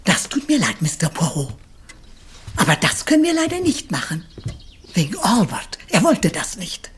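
A woman's voice: on the low side, huge pitch swings, moderate pace. An actress playing a polite, regretful lady in a retro crime radio play. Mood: apologetic. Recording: background noise.

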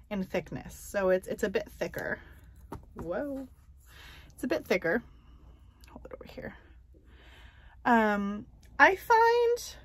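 A woman vlogger chatting casually about her stitching projects, soft and unhurried, with many ums and pauses and light self-deprecating humor. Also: fairly high pitch, dry recording. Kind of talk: casual show-and-tell chat.

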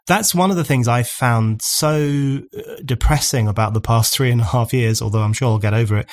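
A man in his forties hosts a podcast from a studio mic. He builds long, earnest arguments and restarts phrases as he goes. His pitch stays in a middling range. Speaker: podcast host. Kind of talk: conversational podcast discussion. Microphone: studio condenser mic on stand.

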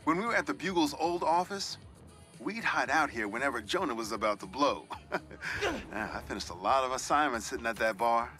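A man's voice: mid-range voice, expressive, brisk, pushed and bright, soft background music. An actor playing a genial middle-aged New York newspaperman with an American accent, telling nostalgic, reflective stories in a video game. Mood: nostalgic amusement. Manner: storytelling, reflective. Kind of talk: game voice acting, conversational monologue.

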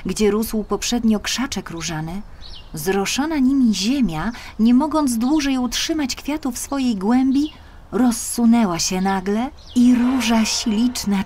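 A woman narrating a children's fairy tale as a scripted audiobook, expressive and measured. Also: medium pitch, a little hiss or hum.

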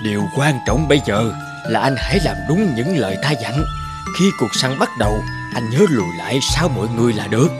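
A man voicing a magical talking grey horse who gives calm, wise advice in an animated fairy tale. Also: mid-range voice, a little fast, over loud music, natural voice. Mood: advising.

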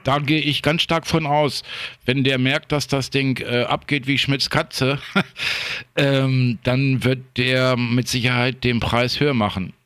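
A man's voice: medium pitch, little pitch movement, slow pace, fairly bright tone, clear, resonant tone, no echo. A man in casual, unscripted radio chat as an amateur operator, often repeating and restarting his words.

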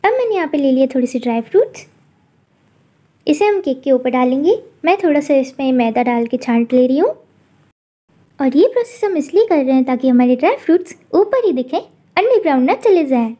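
A woman hosting a cooking channel, calmly narrating a recipe step by step in a voice-over. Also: high voice, a little fast. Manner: calm instructional narration.

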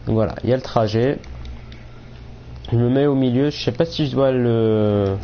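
Gravelly man with a head cold. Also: medium pitch, moderate pace. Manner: relaxed, rambling, many fillers. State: has a cold, voice a bit off. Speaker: gaming streamer. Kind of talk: casual let's play commentary. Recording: muffled mic with clear background noise.

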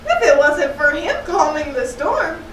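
Woman as squeaky, eager puppet sailor. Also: big pitch swings, measured pace, a bit bright, full character voice. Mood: curious. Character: eager, excitable young puppet sailor creature. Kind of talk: children's puppet show dialogue. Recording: roomy echo, slightly distant mic, faint noise.

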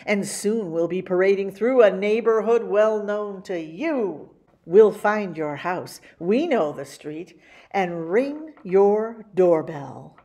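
A woman reading a picture book aloud as a story-time host, with theatrical, animated delivery. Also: medium pitch. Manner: theatrical, with exclamations and spooky sound effects. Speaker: library story-time reader.

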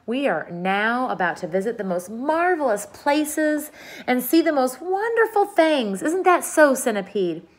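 A woman reading aloud as a kind, gentle female ladybug in a storybook. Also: high voice, very animated, measured pace, voice pushed up, exaggerated voice.

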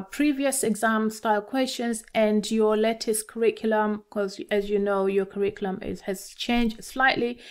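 A middle-aged woman from Tanzania with a Tanzanian accent, talking to students as an encouraging maths teacher. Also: on the high side. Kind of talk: instructional talk to camera for students.

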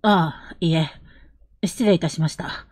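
A woman playing a polite, reserved, determined young traveller in a period audio drama, speaking formally and with measured care. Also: fairly low pitch, darkish tone, dry recording, clean studio sound. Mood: calm, guarded.

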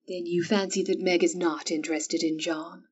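A woman playing a gentle, husky mother. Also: on the low side, distant mic, dull audio. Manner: calm, soothing, measured. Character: Marmee, gentle wise mother of four daughters.

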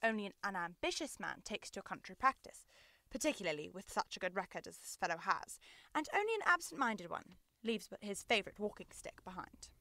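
A woman's voice: mid-range voice, sing-song, fairly quick, pushed and bright, no echo. A young woman playing a brilliant, self-assured male Victorian detective in a theatrical, precise radio drama style. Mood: triumphant. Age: young adult. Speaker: student actor.

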